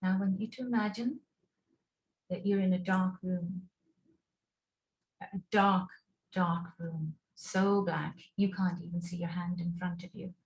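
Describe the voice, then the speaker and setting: Soft-spoken woman leading a visualization. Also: on the low side, fairly even intonation, low-quality recording. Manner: soft, slow, soothing instructions with pauses. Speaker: guided visualization leader. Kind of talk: guided relaxation and visualization. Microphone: video call.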